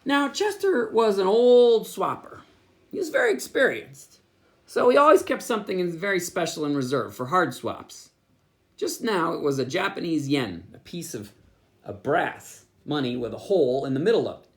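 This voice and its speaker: A pastor reading a children's picture book aloud, with playful asides. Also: male voice, high voice, normal speed. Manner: animated storytelling with asides.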